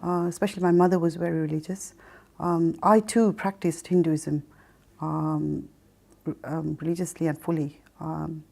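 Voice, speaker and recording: A middle-aged woman recounts her life in a reflective interview testimony. Her voice is mellow and slightly low. She speaks haltingly, often pausing partway through a phrase to find the next one. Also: fairly even intonation, creaky. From Kenya, raised in Mombasa and then England. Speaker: interview guest telling her life story. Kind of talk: personal testimony, reflective recollection.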